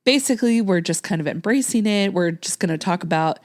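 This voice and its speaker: A woman's voice: medium pitch, animated, a little fast. A woman in her late thirties from Texas, a podcast host chatting casually with a guest, with frequent laughs and ums. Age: late 30s. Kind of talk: casual chat.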